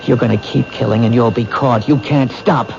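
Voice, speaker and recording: An actor playing a goading detective. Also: male voice, medium pitch, fairly even intonation, moderate pace, vocal fry, lightly acted. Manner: goading, pressing hard. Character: male detective, shrewd and provoking. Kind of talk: 1970s radio drama acting. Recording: dull old radio broadcast with hiss.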